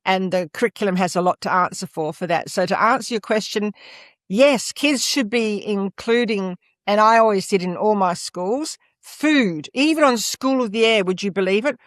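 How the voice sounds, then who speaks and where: An older woman with an Australian accent speaks emphatically, stressing her points again and again. Her voice sits at a middle pitch. It is recorded close and dry, with no room sound. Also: moderate pace, no room sound. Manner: emphatic, leans on "absolutely" and "et cetera". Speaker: guest, former school principal. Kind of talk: interview conversation.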